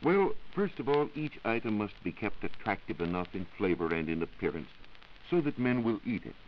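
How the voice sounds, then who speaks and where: American male science reporter, vintage 1940s radio; deep, expressive, formal scripted lecture, old recording. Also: normal speed, lo-fi sound, slight background noise.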